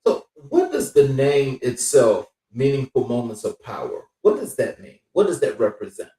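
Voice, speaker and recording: A man hosting an interview, asking thoughtful questions in a measured, somewhat hesitant way. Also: mid-range voice, sing-song, dark tone. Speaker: host. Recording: distant mic in echoey room.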